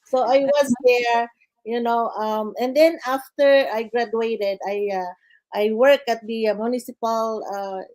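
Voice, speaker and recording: An older woman from the Philippines with a Filipino accent, telling her life story as a guest in a casual interview, halting and full of fillers. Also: fairly high pitch, steady tone, darkish tone, poor audio. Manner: halting, many "uh" fillers, says "guys" and "okay" often.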